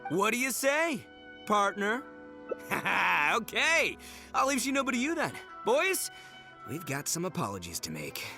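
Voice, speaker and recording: A man's voice: high-pitched, huge pitch swings, a little slow, pushed and bright, soft background music, full character voice. A man voicing a brash, melodramatic young gang boss in a video game, bombastic and slangy in manner. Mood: rallying, cocky. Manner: bombastic, slangy, exaggerated swings. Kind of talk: animated video game voice acting.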